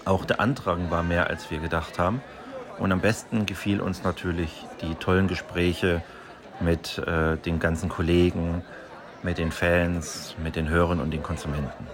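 A man's voice: low voice, flat delivery, moderate pace. A man giving an orderly statement as an interviewee. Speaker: audio drama publisher, interviewee. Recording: crowd chatter at busy event.

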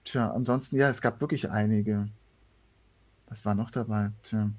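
A man's voice: fairly low pitch, steady tone, moderate pace, a little mellow. A man, talking casually as a voice actor being interviewed. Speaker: guest, voice actor.

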